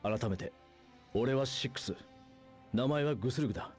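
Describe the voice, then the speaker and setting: Actor as quick-spoken steady soldier comrade. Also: male voice, medium pitch, breathy edge, faint music behind, lightly acted. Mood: calm, friendly. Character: steady, respectful male soldier, loyal comrade. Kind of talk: anime game voice acting.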